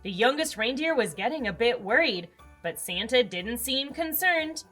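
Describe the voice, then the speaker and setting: A woman's voice: fairly high pitch, lively intonation, moderate pace, a bit bright, faint music behind. A woman with an American accent, hosting a children's read-aloud and telling a picture-book story expressively to young listeners. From Arizona, United States.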